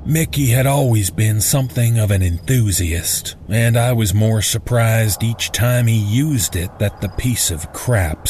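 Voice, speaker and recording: A man narrating a scripted first-person horror story in a deliberate, suspenseful manner. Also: medium pitch, darkish tone, slight background noise.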